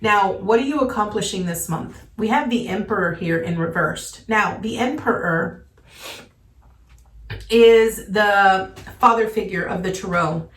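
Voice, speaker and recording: A woman's voice: medium pitch, measured pace, a bit bright, far from the mic. A woman giving a casual tarot reading, earnest and reassuring, often checking in with "okay?", her voice a little worn from congestion. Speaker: tarot reader. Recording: echoey room, voice a little off-mic.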